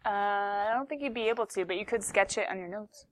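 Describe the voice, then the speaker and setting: A woman's voice: medium pitch, fairly quick. A woman math teacher giving a classroom lesson, playful and chatty, with sudden exclamations.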